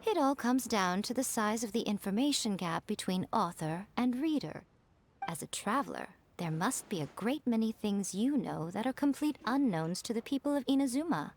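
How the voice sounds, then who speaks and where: A woman's voice: on the high side, animated, normal speed, darkish tone, slight background noise. A woman voicing a sly, elegant fox-spirit lady in a video game, self-assured and teasing, with a poised, condescending way of talking. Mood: poised, persuasive. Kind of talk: video game character voice acting. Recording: background music.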